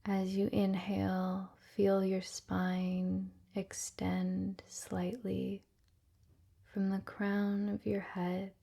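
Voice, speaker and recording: Soft, very slow, breathy woman guiding meditation. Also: mid-range voice, monotone. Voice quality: soft, breathy, often near-whispered. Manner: gentle, soothing, unhurried, with long pauses. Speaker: meditation guide. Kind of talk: guided relaxation meditation, soft ASMR-like delivery.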